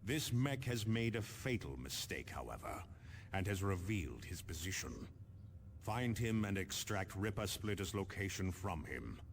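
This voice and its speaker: An actor playing a stern, resolute space marine captain, giving formal, measured briefings in a video game. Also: male voice, sits low, big pitch swings, slight background noise, exaggerated voice. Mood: grave, commanding.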